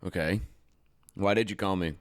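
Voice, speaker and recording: An actor playing a proud, blunt young rodeo rider from West Texas who talks in a guarded way. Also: male voice, low voice, normal speed. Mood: wary. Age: young adult. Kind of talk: radio play acting.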